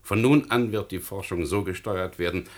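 Man playing a deep-voiced, coldly authoritative official. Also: lightly acted. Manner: formal, authoritative, measured. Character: cold, controlling government official enforcing suppression of research. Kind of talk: German radio play acting.

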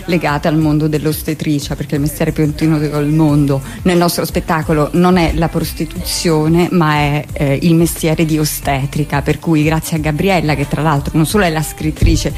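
Chatty woman, lower voice. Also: little pitch movement, normal speed, few pauses, darkish tone, soft background music. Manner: chatty, laughs often, acts out quotes. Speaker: actress and guest. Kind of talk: studio radio interview.

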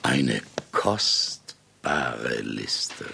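An actor playing an urbane, self-assured famous novelist in a German radio play, gravelly and ironically indulgent, with hems and haws. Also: male voice, rumbling low, sing-song, very slow, pushed and bright, heavily acted. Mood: dry, mocking. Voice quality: gravelly, raspy.

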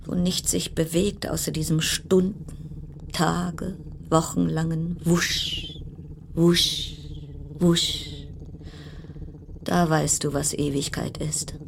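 An actress playing a brooding narrator in an absurd, dystopian radio play, with deliberate, incantatory phrasing over a noisy background. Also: female voice, low-pitched, vocal fry, full character voice. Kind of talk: literary radio play monologue.

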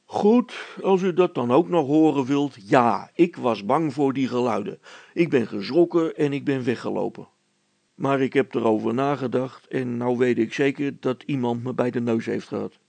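A man's voice: medium pitch, normal speed, gravelly, a little mellow, no room sound. A man voicing an indignant, stubborn village policeman in a storybook, blunt and emphatic. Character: indignant, stubborn male village policeman, plain-spoken and earnest.

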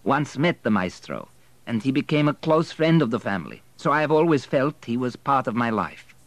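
A man's voice: mid-range voice, big pitch swings, moderate pace, dry recording, pitched above the performer's own voice. A man voicing a proud, earnest young Polish immigrant suitor with a slight accent and a formal, pleading manner. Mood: reflective, proud.

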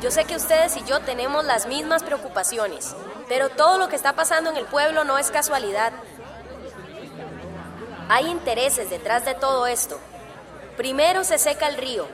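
A woman's voice: high-pitched, expressive, normal speed, crowd noise. An actress playing a determined, indignant village woman activist in a radio drama, earnest and resolute.

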